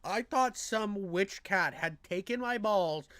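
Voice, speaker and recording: A man with a high-pitched nasal voice, reacting to a video in crude, excitable casual commentary, often stammering and restarting. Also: slow pace, clean tone, no room sound. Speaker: reaction streamer. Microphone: on camera, desk mic.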